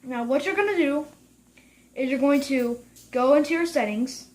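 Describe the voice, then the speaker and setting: A high-voiced woman presents a software tutorial, explaining things step by step. The delivery is unscripted and halting, with phrases restarted along the way.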